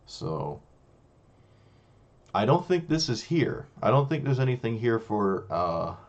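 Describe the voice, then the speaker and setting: A young man with a hoarse, failing voice, casually commenting on his own card game as a streamer, musing and self-critical. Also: mid-range voice, big pitch swings, slow pace, highs cut off.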